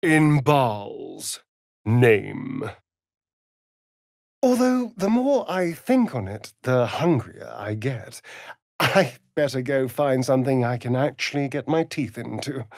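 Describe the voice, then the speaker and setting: A man's voice: on the high side, darkish tone, dry recording, studio quality, heavily acted. A man playing a vain, sardonic aristocratic vampire rogue in a video game, with a British accent and an arch, theatrical drawl. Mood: haughty. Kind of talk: video game character acting.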